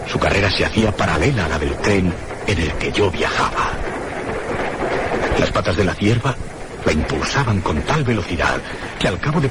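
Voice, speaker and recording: Mystery radio drama: uneasy, inquisitive gentleman traveller, low and animated, literary delivery over heavy noise. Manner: formal, literary. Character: uneasy, curious male traveller recounting a mysterious journey. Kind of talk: scripted suspense radio drama narration.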